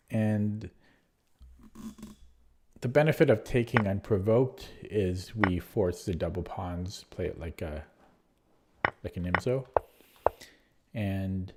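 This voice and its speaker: A man's voice: on the low side, noisy background. A man losing his voice, reviewing his chess game aloud in a casual, hesitant way with frequent ums and restarts. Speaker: chess streamer.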